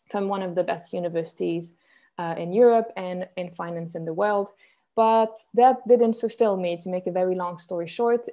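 A woman's voice: on the low side, dark tone. Upbeat Swiss woman coaching on a live stream. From Switzerland. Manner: upbeat, chatty, reads viewer comments aloud. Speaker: business coach hosting a live challenge. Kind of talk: live-stream teaching chat with viewers.

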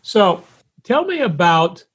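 A man in his seventies speaks as a podcast host. His voice is husky and a touch higher than average for a man. He talks conversationally, setting out his questions directly and deliberately. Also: very animated, fairly bright tone, lo-fi sound. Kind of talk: conversational interview.

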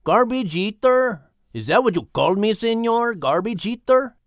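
A woman's voice: on the high side, very animated, moderate pace, no room sound, studio quality. A woman voicing a proud, touchy male raven with a mock Spanish-flavored way of talking, in a storybook reading. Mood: indignant.

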